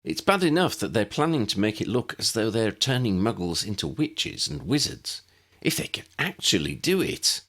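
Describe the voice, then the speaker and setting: A man's voice: on the low side, sing-song, normal speed, creaky, fairly bright tone, pitched above the performer's own voice, full character voice. A man voicing a serious, caring and clever woman in an audiobook. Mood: grave. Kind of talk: audiobook character dialogue.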